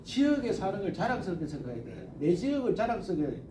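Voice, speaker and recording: A man's voice: sits high, big pitch swings, quick pace, echoey room, distant mic, noisy background. An older man with a Gyeongsang dialect giving a rambling, folksy campaign speech to an audience, with emphatic points. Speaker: politician.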